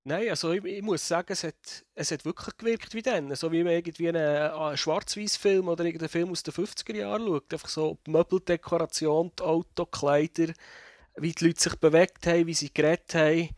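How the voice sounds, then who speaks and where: A man's voice: fairly high pitch, steady tone, a little mellow, dry recording, clean studio sound. A man, co-hosting a casual game review chat, speaking thoughtfully with frequent halts and restarts. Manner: thoughtful, halting, many restarts. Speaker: video game reviewer, co-host.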